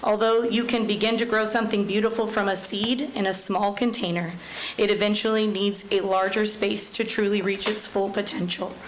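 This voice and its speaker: Woman, school principal, loud voice, reading a letter at public comment; roomy, echoey chamber. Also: medium pitch, level tone, moderate pace. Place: county commission chamber. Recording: echoey chamber with faint hum.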